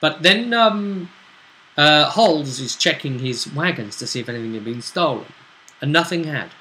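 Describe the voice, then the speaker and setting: A man's voice: fairly high pitch, animated, normal speed, bright, forceful tone, a little hiss or hum, poor audio. A man chatting through a recap of a tabletop role-playing session as game master, in a bantering, conversational storytelling way. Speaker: game master and show host.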